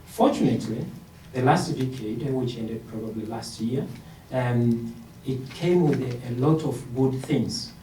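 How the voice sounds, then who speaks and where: A man from Tanzania, a medical researcher on a panel, answering in an earnest, measured way with frequent fillers. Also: mid-range voice, mellow, slight background noise. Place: conference hall. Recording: roomy, distant conference hall mic.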